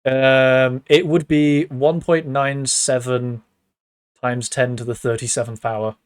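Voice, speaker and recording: A man's voice: mid-range voice, fairly even intonation, slow pace, clean tone, no echo. Giggly man hosting a chat podcast. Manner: giggly, laughs often between words. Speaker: podcast host. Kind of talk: casual online chat. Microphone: computer mic on a voice call.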